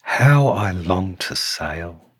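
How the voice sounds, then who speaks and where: A man voicing a tiny female sea snail from a storybook, wistful and eager for adventure, in a husky voice. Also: low voice, expressive, very slow, a bit bright, full character voice. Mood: wistful longing.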